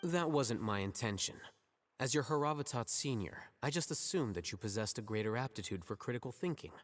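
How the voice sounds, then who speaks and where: An actor playing an aloof, intellectual young male scholar in a video game, with a deadpan, condescending, measured delivery. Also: medium pitch, in a large hall, close to an everyday voice. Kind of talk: dramatic game character acting.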